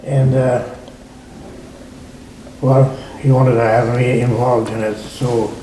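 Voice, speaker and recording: An older man from Hungary, a winery owner, telling his story in an oral history interview, halting and repeating words often. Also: mid-range voice, little pitch movement, warm tone, reverberant, slight background noise, low-quality recording.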